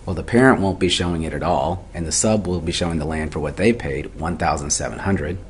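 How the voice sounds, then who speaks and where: A man with a very low voice delivers an instructional lecture. He works methodically through each step, asking questions and answering them himself. There is faint noise in the recording. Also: a little fast. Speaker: accounting instructor. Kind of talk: explanatory lecture for a video tutorial.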